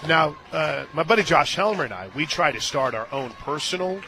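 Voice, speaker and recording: Male American sports radio announcer, slightly high voice, chatty and emphatic, music behind him in a stadium booth. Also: lively intonation, normal speed. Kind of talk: live sports commentary with chatty asides.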